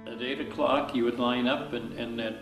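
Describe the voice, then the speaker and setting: An older man recalling his past in a halting, meandering, informal way. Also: medium pitch, level tone. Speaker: former work-camp member giving testimony. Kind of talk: informal oral-history recollection. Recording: echoey, distant mic, music underneath.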